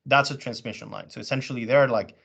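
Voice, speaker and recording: A man from Venezuela talks about his career in a relaxed, halting way, stopping often to find his words. His pitch is ordinary. The audio sounds dull and lo-fi. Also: vocal fry, husky. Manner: hesitant, many ums and restarts. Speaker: panelist in business development. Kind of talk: casual panel talk.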